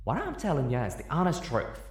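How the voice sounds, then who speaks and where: A man's voice: mid-range voice, wildly swooping pitch, brisk, reverberant, pitched above the performer's own voice. A man voicing a stern but caring mare. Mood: firm, reassuring.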